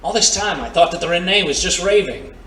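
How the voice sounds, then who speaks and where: A man's voice: sits high, very animated, a little fast, sharp tone, heavily acted. A man playing a brash, wisecracking ex-thief in a pulp adventure audio drama. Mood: wary, serious. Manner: wisecracking, slangy tough-guy talk. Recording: roomy, distant mic, faint noise.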